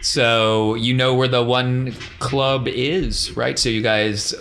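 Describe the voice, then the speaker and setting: A man's voice: medium pitch, flat delivery, slow, vocal fry, fairly bright tone, a little hiss or hum. A man working as game master, narrating a tabletop roleplaying game in a conversational, descriptive way. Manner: conversational, descriptive scene-setting.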